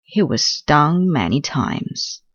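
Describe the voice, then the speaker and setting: A woman with Korean as her first language, reading a children's picture book aloud slowly and gently. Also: sits low, sing-song, lo-fi sound, a little hiss or hum. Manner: slow, gentle, careful reading. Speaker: storybook reader.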